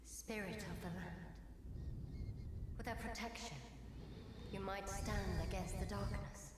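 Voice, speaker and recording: Woman doing a mysterious solemn game spirit, slow, huge echo, loud noisy ambience. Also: mid-range voice, steady tone. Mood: solemn, urgent. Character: mysterious ethereal voice from a dream, pleading guide. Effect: long reverb. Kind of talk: fantasy game dialogue.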